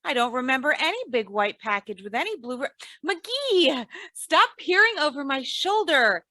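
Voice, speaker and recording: An actress playing a sharp-tongued, scolding wife in old-time radio comedy style, chiding and wry. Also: female voice, high voice, very animated, normal speed, dry recording, clean studio sound, heavily acted.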